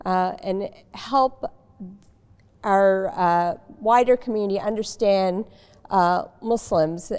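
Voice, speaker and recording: A woman, speaking on a panel with a halting delivery full of fillers. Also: medium pitch, creaky, darkish tone, clean tone. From Nashville, United States. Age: 50s. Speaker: panelist, nonprofit director. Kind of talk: panel discussion. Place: large library reading room. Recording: long reverb of a large hall.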